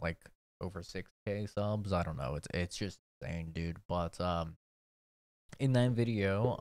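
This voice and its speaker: A man's voice: deep voice, smooth, no room sound. A young man in his twenties chatting casually and poking fun at himself while reacting to an old video of his own. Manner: laid-back, chatty, self-mocking.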